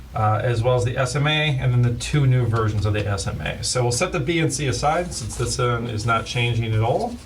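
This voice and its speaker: A man hosting a casual product demo, explaining plainly with many fillers. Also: mid-range voice. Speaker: host of a scanner shop's product videos. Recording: voice a bit far from the mic, faint hiss.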